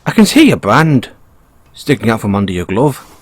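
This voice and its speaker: A man with an Irish accent voicing a sly, playfully menacing bunny mechanic who is a mafia boss, in an intimate, teasing, unhurried audio roleplay style. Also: mid-range voice, very animated. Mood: teasing threat. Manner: teasing, unhurried, coaxing with tag questions.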